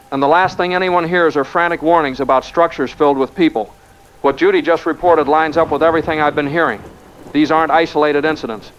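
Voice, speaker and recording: A man's voice: on the high side, a bit bright, a little hiss or hum, close to an everyday voice. A man playing a grave, cautionary ham radio operator in a scripted apocalyptic drama, over a lo-fi radio feed.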